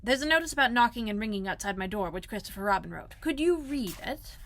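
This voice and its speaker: A woman's voice: fairly high pitch, lively intonation, fast, a bit bright. A woman voicing a fussy, self-important, learned male owl in a storybook, pompous but a little hesitant. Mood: fussy, anxious.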